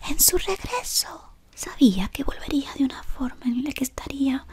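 A woman whispering as a fawning dark-wizard servant. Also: high voice, expressive, moderate pace. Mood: devoted whisper. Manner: softly whispering close to the mic, deferential and fawning. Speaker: ASMR roleplay creator. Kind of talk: whispered ASMR roleplay. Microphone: close sensitive mic at face level.

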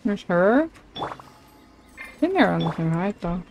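A woman's voice: fairly low pitch, big pitch swings, measured pace, vocal fry, a little mellow. A woman livestreamer chatting casually and informally, rambling and thinking aloud as she plays. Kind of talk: casual game stream chat. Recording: game music behind the voice. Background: video game music.

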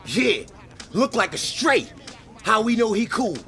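A man's voice: high voice, sing-song, a little slow, pushed and bright, some chatter behind, exaggerated voice. A man playing a cocky, trash-talking young street crew member in a video game cutscene, brash and slangy. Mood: dismissive, suspicious.

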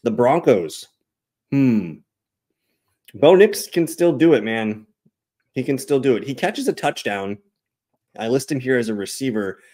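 A man's voice: mid-range voice, lively intonation, measured pace, pauses often, mellow. A man in his thirties hosting a sports show, casually analyzing and reading out stats in a matter-of-fact, conversational way. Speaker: fantasy football show host.

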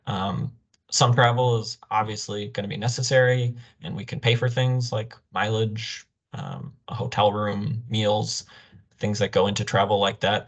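Young man, lower voice, explanatory webinar presentation, video call. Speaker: program officer presenting a grant orientation. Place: indoor room, on a video call. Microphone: video call microphone.

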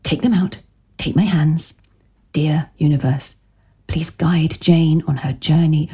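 An actress playing a pushy, upbeat new-age book agent with a breathy voice in an audio romantic comedy. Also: female voice, low-pitched, slow, warm tone, exaggerated voice. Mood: soothing, pushy. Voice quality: breathy, husky. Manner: persuasive, breezily insistent.

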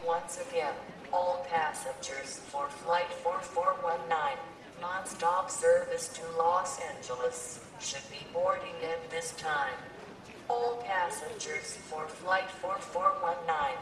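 A woman playing an impersonal airport gate announcer, making formal, deliberate public-address announcements in an audio drama. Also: lowish voice, background noise. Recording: echoey distant PA, airport crowd.

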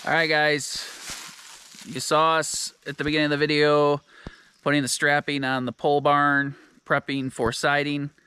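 A man's voice: a little high, moderate pace, clear, resonant tone. A man in his forties casually explaining a building project, filming outdoors on a homestead with a handheld camera. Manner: relaxed, explains as he works. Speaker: vlogger. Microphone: action camera held close.

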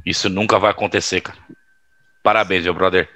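Bright-voiced insolent young man. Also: sits low, fairly even intonation, a little fast. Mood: smug casual. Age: young adult. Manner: slangy, insolent. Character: insolent young man, irresponsible spoiled rich son. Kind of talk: audio drama acting.

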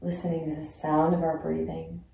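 A woman leading a guided meditation in a soothing, unhurried manner with long pauses between phrases. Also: low-pitched, monotone, creaky, mellow. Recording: very echoey, reverberant sound.

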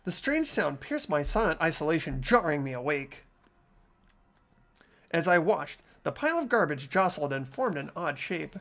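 A man's voice: on the high side, sing-song, normal speed, a bit bright. A man hosting a game playthrough, reading narration and chatting casually with friends.

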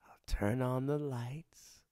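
A man's voice: on the high side, very animated, slow, a bit bright, heavily acted. A man playing a cocky, teasing fire-wielding boyfriend. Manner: teasing, playful, drops to close whispers. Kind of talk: ASMR boyfriend roleplay.

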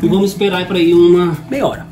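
A man's voice: high-pitched, steady tone, fast, over music. A man in his thirties with a Brazilian accent, chatting and joking in slang as he demonstrates home cooking to camera. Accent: Brazilian Portuguese. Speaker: home cook and vlog host. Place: small home kitchen, indoors. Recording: echoey kitchen, clattering dishes and noise.

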